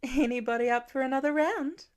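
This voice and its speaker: A woman's voice: high-pitched, fairly quick, voice pushed up, close to an everyday voice. A woman voicing a teasing, competitive mother with a dry, playful manner in a story reading. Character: teasing, deadpan mother who loves to win.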